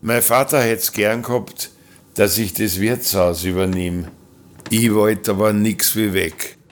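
An actor plays a plain-spoken seaman who is hard of hearing. His voice is fairly low and he takes his time over every phrase, as a radio play character. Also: fairly even intonation, a little slow, close to an everyday voice. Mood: wistful.

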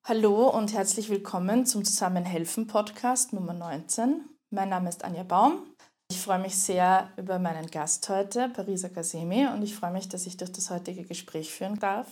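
A woman's voice: mid-range voice, normal speed, darkish tone. A woman hosting an interview podcast, welcoming her guest and asking friendly, structured questions in German. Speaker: podcast host.

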